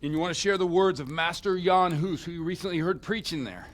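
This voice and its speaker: An actor playing a hungover, scheming village priest in a video game, groaning and coarse-mouthed in a dramatic way. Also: male voice, on the high side, fairly quick, close to an everyday voice. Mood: scheming, pleading. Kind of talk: video game cutscene acting.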